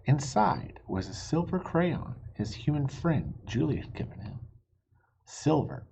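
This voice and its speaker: A storybook reader giving an expressive read-aloud for children, explaining things simply. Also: mid-range voice, a little slow, creaky, a little hiss or hum, poor audio.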